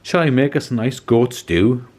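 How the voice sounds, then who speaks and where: A man's voice: mid-range voice, lively intonation, moderate pace, darkish tone, pitched above the performer's own voice, close to an everyday voice. A man voicing a hungry, scheming but easily cowed troll in a children's storybook. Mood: relieved, polite.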